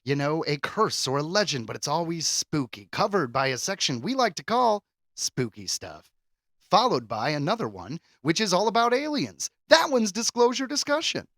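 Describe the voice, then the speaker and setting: A man's voice: on the high side, big pitch swings, normal speed, a bit bright, no room sound. A man co-hosting a podcast in casual, jokey chat, telling things with theatrical flair. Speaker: podcast co-host.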